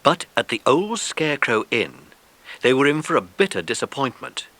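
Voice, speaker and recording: A man's voice: medium pitch, big pitch swings, normal speed, pushed and bright. A man narrating a children's story from a script, as the narrator of an audiobook.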